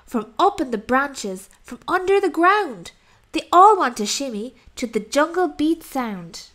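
A woman narrates a rhyming picture book for children. Her voice is high and very animated, and she takes her time, leaning on key words for dramatic effect. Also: measured pace. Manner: expressive, dramatic emphasis. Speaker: storybook narrator.

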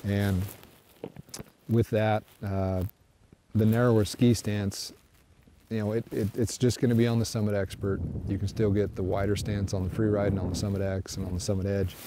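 A middle-aged man sitting on a snowmobile in the snow, giving a casual review to the camera in a relaxed, halting way with many restarts. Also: on the low side, a little hiss or hum. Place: outdoors on snowy flat by trees. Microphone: camera framed from a distance, seated on a snowmobile.

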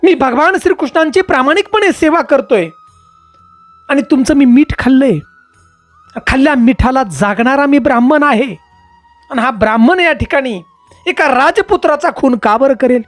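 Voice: high voice, expressive, moderate pace, dry recording, faint music behind, voice pushed up. A storyteller voicing an honest, devout temple priest who pleads earnestly in a moral tale. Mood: earnest pleading.

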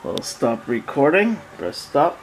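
A man giving a casual, halting product demonstration as a seller, often repeating himself, recorded indoors on a handheld camera. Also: mid-range voice, wildly swooping pitch, gravelly, poor audio. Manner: halting, repetitive, plain-spoken. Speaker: online seller demonstrating an item. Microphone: handheld camera, speaker off screen behind it.

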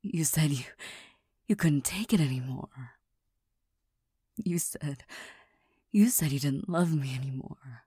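A woman voicing a proud, touchy young girlfriend who hides her tenderness, speaking in a soft half-whisper with stammers and nervous laughs into a close mic. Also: low voice, expressive, takes it slowly, pauses often, breathy edge, no echo, heavily acted. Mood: vulnerable, defensive. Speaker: voice actor in a listener roleplay. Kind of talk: whispered girlfriend comfort roleplay.

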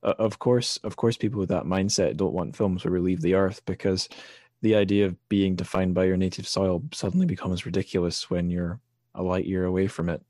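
A man's voice: low voice, fairly even intonation, brisk, dark tone, no room sound, clean studio sound. A man hosting a podcast, talking casually and thoughtfully as he works through his opinions. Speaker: podcast host. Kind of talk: casual discussion of books and film.

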